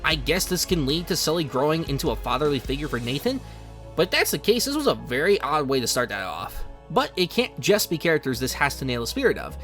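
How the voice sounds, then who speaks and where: A man's voice: a little high, very animated, fast, a bit bright. A man narrating a scripted video essay in a conversational, emphatic and opinionated way. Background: music under the voice.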